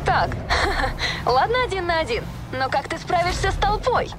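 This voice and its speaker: A woman's voice: very high voice, big pitch swings, normal speed, very noisy. A woman acting a cocky, taunting street racer in a dubbed video game. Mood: mocking. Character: cocky, taunting female street racing rival. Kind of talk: video game dialogue, Russian dub. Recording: loud music behind voice.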